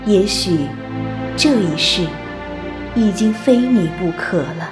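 Woman narrator over prominent soft music. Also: medium pitch, expressive, takes it slowly. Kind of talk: scripted dramatic trailer narration. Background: new-age background music.

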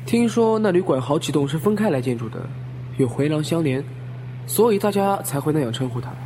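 A man's voice: fairly high pitch, animated, brisk, dark tone, lightly acted. A man playing a friendly, gossipy taxi driver in a Chinese audio drama, conversational and deferential. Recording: vehicle hum behind the voice.

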